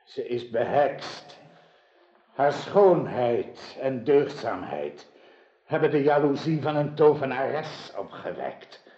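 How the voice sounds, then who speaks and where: An older actor playing a grieving, dignified old king in a radio drama, with a solemn, halting delivery. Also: medium pitch, sing-song, mellow. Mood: sorrowful, grave. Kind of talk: theatrical radio drama acting. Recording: dull, echoey radio play recording.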